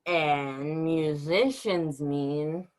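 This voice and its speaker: A young man reads out lesson prompts as a helper. His delivery is extremely slow and measured, word by word. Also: fairly high pitch. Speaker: guest helper reading out words.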